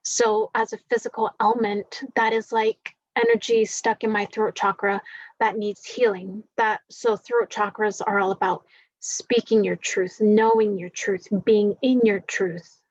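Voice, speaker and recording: A woman with a groggy voice talks through a spiritual forecast, drifting along with plenty of fillers. Her pace is very slow. The recording is dry and a little dull. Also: mid-range voice, steady tone, dry recording. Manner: slow, meandering, many fillers. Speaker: spiritual reader.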